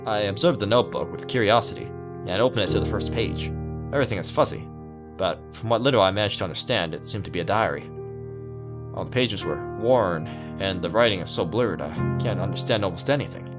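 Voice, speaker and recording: Male let's-player, low voice, casual halting read of visual novel text, guitar music behind. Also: animated. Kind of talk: casual read-aloud narration with asides.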